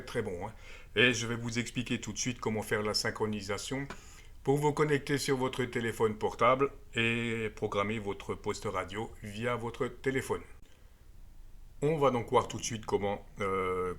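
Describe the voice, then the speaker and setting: Low-voiced man giving a product demo. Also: a bit bright. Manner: explanatory, step by step, with frequent tag words. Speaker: amateur radio video presenter. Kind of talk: casual hands-on product demonstration.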